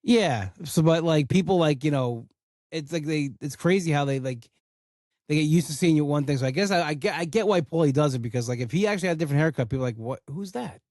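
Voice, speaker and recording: A man's voice: fairly high pitch, animated, fast talker, darkish tone, dry recording, studio quality. Rambling man hosting a podcast. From Italian-American household. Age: 40s. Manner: rambling, full of "like" and restarts. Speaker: podcast host. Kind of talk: casual podcast chat.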